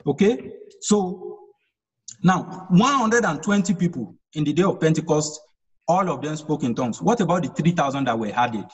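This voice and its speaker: A man's voice: fairly high pitch, lively intonation, normal speed, husky, big-room sound, low-quality recording. A man whose first language is Yoruba teaches an online Bible study, explaining verse by verse and asking rhetorical questions.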